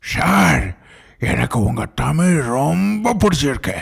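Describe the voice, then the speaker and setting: Man voicing a very gravelly, chubby cartoon schoolboy. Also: fairly high pitch, sing-song, takes it slowly, heavily acted. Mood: admiring. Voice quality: gravelly, raspy. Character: chubby, easygoing, sceptical schoolboy cartoon character. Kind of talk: cartoon dialogue.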